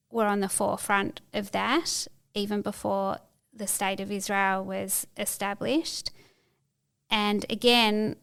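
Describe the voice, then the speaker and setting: Clear-voiced reflective woman guest. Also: medium pitch, fairly bright tone. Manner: thoughtful, searching for words with frequent restarts. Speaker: podcast guest. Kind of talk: reflective interview conversation.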